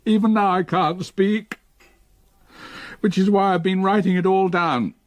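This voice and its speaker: An actor playing a grief-stricken old man haunted by his past, in a literary, measured gothic radio drama style. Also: high-pitched, steady tone, dry recording. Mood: anguished, tearful. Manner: literary, measured, emotionally burdened.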